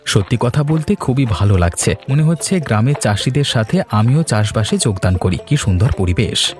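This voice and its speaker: A man playing a cheerful, easygoing husband in a cartoon fairy tale, with a Bengali accent and a genial, expressive manner. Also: medium pitch, normal speed, soft background music, close to an everyday voice. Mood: relaxed, admiring. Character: cheerful, easygoing Bengali husband who loves village life. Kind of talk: cartoon voice acting, domestic dialogue.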